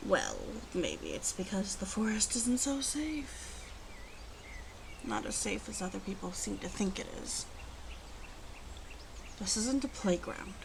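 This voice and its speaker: Noticeable ambient noise sits behind a female werewolf, acted by a woman in an ASMR roleplay. She speaks slowly and softly with a gravelly creak, often dipping into whispers. Her manner is protective and gruffly bossy. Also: medium pitch, big pitch swings, full character voice. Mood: stern, protective. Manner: slow, murmuring, often drops into whispers. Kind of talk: ASMR roleplay, close and soft-spoken. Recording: clearly audible ambient background noise.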